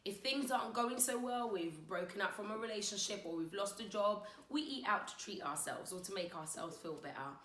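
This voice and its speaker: Woman w/ a croaky under-the-weather voice, chatty money tips, echoey room. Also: medium pitch, brisk, hardly any pauses, a bit bright. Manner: chatty, direct, addresses viewers as guys. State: croaky from being unwell. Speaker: finance vlogger. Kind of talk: casual advice vlog.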